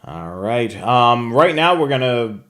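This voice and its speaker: A man's voice: medium pitch, expressive, slow pace, fairly bright tone. Casual man co-hosting a live show. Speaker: co-host of a live radio scanner show. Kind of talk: casual live product talk.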